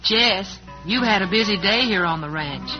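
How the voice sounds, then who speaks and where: A woman's voice: mid-range voice, lively intonation, normal speed, close to an everyday voice. A kindly, motherly ranch woman from an old radio western played by a woman, folksy and soothing. The vintage recording is muffled, with faint music behind her. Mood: tender. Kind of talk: 1940s radio western acting.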